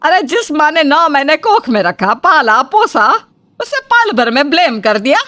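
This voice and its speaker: Woman as a domineering middle-aged mother-in-law, forceful bright voice, high pitch, dramatic scolding, comic story. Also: big pitch swings, a little slow, dry recording, full character voice. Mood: wounded, dramatic. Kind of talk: acted comic family story dialogue.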